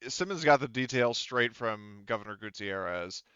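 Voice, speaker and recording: A man playing a tabletop roleplaying game, casually recapping and narrating in a halting, think-aloud way. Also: fairly low pitch, expressive, pushed and bright, smooth, no echo, muffled recording.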